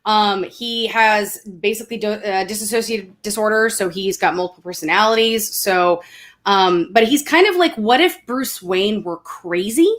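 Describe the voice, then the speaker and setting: Woman, medium pitch, panel guest, casual talk, halting stop-start delivery. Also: fairly bright tone. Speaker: guest on a geek culture panel show. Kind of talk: casual chat.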